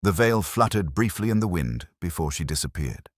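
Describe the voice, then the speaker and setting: A man reading a scripted first-person detective story in a measured, brooding, literary manner. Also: low voice, animated, dry recording, studio quality. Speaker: narrator.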